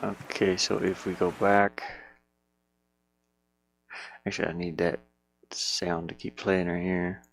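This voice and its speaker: Male game dev, lowish pitch, very unhurried, casual think-aloud in muttered fragments. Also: level tone, gravelly, a little hiss or hum, poor audio. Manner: muttering to himself in short fragments. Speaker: indie game developer.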